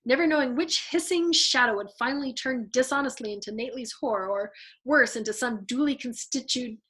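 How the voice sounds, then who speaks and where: A woman with a fairly high voice reads a novel aloud. She narrates in the manner of an audiobook storyteller. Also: a bit bright.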